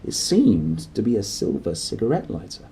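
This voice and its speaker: A man narrating a children's storybook aloud in an expressive style. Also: lowish voice, normal speed, gravelly, slight background noise, low-quality recording. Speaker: storybook reader.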